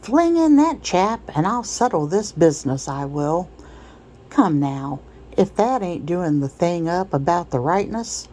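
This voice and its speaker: A woman's voice: on the low side, big pitch swings, measured pace, dark tone. A woman voicing a coarse, pushy male slave trader in a storybook read-aloud, with a wheedling, blustering, folksy rustic way of talking. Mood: pushy, cheerful.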